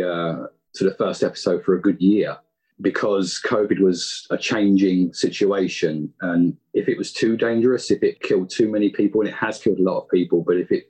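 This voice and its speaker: A man talks casually as a guest in a remote interview. His voice is very low and rough-edged, and he explains things conversationally. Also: darkish tone, rough recording. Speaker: interview guest, audio drama creator. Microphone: remote video call.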